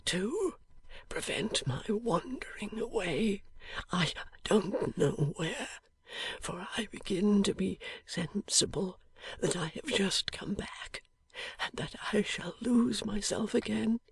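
A woman voicing a gravely ill young gentleman, speaking in a faint, breathy, halting whisper. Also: medium pitch, lively intonation, voice pushed up, exaggerated voice. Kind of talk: audiobook character voice.

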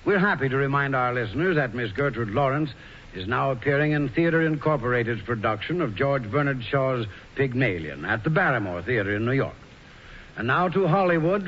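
A man giving a formal, measured, scripted announcement as a 1940s radio announcer. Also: medium pitch. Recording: dull, faintly noisy 1940s radio recording.

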